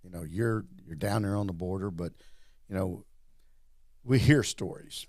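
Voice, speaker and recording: A man's voice: low-pitched, measured pace, darkish tone, no room sound, clean studio sound. A man hosting a casual interview, rambling and repeating words in a friendly way. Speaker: host.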